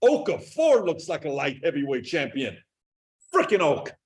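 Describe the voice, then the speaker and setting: A man's voice: a little high, huge pitch swings, normal speed. Hyped man announcing contest results. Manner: upbeat and hyped, with bursts of enthusiasm. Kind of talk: business meeting presentation.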